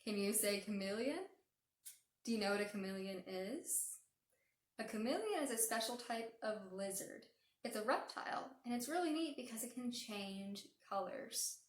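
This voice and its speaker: Woman, high voice, children's storytime host, animated read-aloud, careful exaggerated enunciation, echoey room. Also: normal speed. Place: indoor room with a couch.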